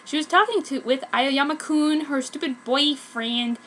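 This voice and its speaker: A woman reads a story aloud in a theatrical, exaggerated amateur style. Her voice is very high and bright. There is faint noise in the recording. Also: a little slow. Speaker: fan fiction reader. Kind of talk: dramatic amateur story reading.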